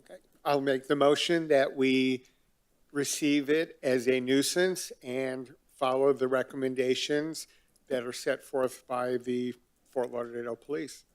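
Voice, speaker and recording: A man's voice: mid-range voice, fairly even intonation, unhurried, clean tone. A man serving on a city board, plainspoken, asking practical questions and making motions. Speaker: board member. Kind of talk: public meeting discussion. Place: city board meeting room.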